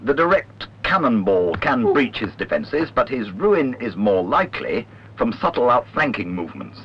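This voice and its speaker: A man reading a literary documentary narration in a measured, wry way. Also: mid-range voice, big pitch swings. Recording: dull lo-fi old film soundtrack, clear noise.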